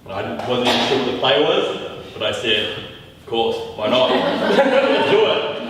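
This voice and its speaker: A man's voice: medium pitch, sing-song, unhurried, heavy background noise. A man, a theatre director, telling an anecdote in a group discussion in an easygoing way. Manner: anecdotal, laughs easily. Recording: echoey hall from far off.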